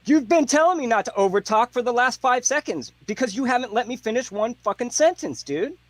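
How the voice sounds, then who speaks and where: A man's voice: very high voice, animated, brisk, no echo. Frustrated fifty-year-old man, dry sound. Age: 50s. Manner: frustrated, casual, rambling. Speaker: debate guest. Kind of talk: heated debate.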